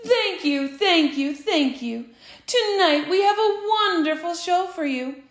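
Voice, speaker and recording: A brisk, pushy fox showman who has no time for anyone, as a woman storyteller puts him on. He snaps his words out high, and the room echoes. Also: animated, heavily acted. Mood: impatient, showy. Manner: brisk, dismissive. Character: impatient, slick male fox showman in charge. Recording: roomy echo.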